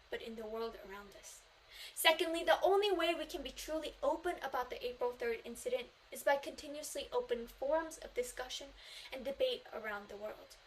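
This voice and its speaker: A woman's voice: sits high, a bit bright, slight background noise, poor audio. A Korean teenage girl, a native Korean speaker, delivering an earnest memorized speech in English at a student contest, stressing key phrases. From South Korea. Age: teens.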